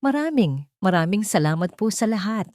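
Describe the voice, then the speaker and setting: A woman voicing a gentle but resolute young wife, polite and heartfelt, in a dramatic audio story. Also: medium pitch, very animated, moderate pace, no echo, clean studio sound, pitched above the performer's own voice, natural voice. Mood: grateful, tearful.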